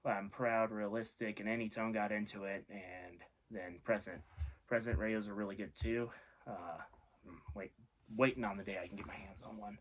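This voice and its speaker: A man's voice: fairly low pitch, steady tone, normal speed, highs cut off. A man giving a casual, informal explainer as a video host, rambling and offhand, often correcting himself. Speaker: host of a radio hobby video series.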